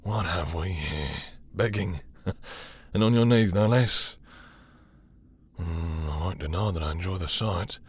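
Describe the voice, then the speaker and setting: A man acting a dominant, possessive male vampire in an intimate audio roleplay, taunting and seductive with a sadistic, menacing edge. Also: low-pitched. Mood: smug, taunting. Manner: taunting, drawling, with breathy sighs and lingering pauses.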